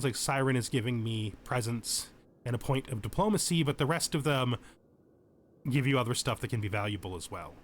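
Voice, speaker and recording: A man with a mid-pitched voice talks through a game in a casual, explanatory tutorial style. He thinks aloud and corrects himself as he goes. Noticeable background noise sits behind his voice. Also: fairly bright tone. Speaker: let's play host.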